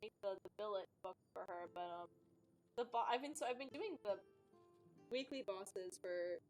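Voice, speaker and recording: A woman's voice: medium pitch, moderate pace, darkish tone. A woman giving casual, chatty commentary on a game livestream, rambling and often trailing off mid-thought. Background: music.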